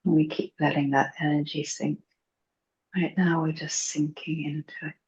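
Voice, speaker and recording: Husky, low-fi woman guiding meditation. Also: little pitch movement. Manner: gentle, hushed, unhurried phrasing. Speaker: guided meditation teacher. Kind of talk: slow, soothing guided meditation. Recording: dull, muffled lo-fi recording.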